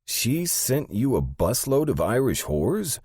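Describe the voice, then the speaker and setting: An audiobook underling, acted by a man narrator, puzzled and inclined to whine. The voice sits well above a deep narrating register, in a close, dry recording. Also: very animated, slow, darkish tone, husky, dry recording, full character voice. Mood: incredulous, whining. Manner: whining. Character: Sandy, puzzled whiny underling reporting to the boss.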